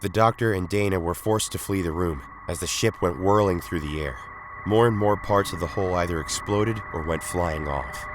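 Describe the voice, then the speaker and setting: A man's voice: low voice, normal speed, background noise. A man reading a sci-fi adventure story as dramatic scripted narration. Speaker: story reader.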